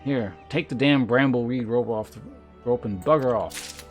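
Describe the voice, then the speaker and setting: A gruff market tinker from a fantasy game, acted by a man. He talks in a rough, colloquial way and has a grudging streak, with music clearly behind him. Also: mid-range voice, moderate pace, lightly acted. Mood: irritated. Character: gruff, grudging rope tinker sick of his creditor.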